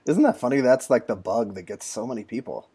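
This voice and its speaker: A man's voice: medium pitch, lively intonation, fairly quick, dark tone, husky. A man hosting a podcast in a casual, conversational interview style. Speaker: podcast host.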